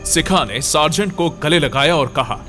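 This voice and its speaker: A man's voice: a little high, animated, moderate pace, over music. A man narrating a scripted horror story.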